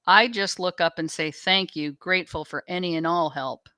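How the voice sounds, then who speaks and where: A woman's voice: on the low side, moderate pace, bright, forceful tone, no echo. A woman assisting on a show, reading viewers' messages aloud.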